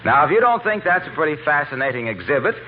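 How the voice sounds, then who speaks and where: Male vintage radio announcer, persuasive, higher animated voice, thin phone-like sound. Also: fast, a little hiss or hum. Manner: polished, persuasive. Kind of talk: 1940s scripted announcing and sponsor pitch. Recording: thin, band-limited vintage radio recording.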